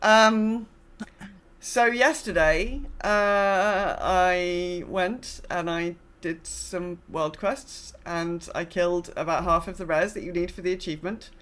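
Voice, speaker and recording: A woman's voice: lowish voice, steady tone, takes it slowly, fairly bright tone. A woman co-hosting a casual gaming podcast, speaking in a wry, understated and somewhat hesitant way. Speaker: podcast co-host.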